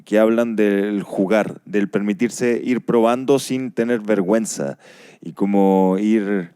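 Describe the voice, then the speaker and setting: A Chilean man talks informally on a panel. His voice is low and softly mellow, with a clean, smooth tone and a thoughtful delivery. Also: takes it slowly, no echo, studio quality. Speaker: radio drama actor on a panel. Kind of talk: casual panel discussion.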